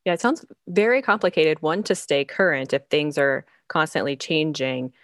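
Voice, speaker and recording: A woman's voice: sits low, moderate pace, a bit bright, no room sound, studio quality. A woman interviewing a guest in a casual, conversational way.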